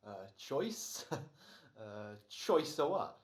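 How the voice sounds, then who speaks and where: Radio-play actor character, female performer: very low voice, puzzled, weary, dry delivery, echoey room. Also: big pitch swings, very slow, full character voice. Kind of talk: old-time American radio acting.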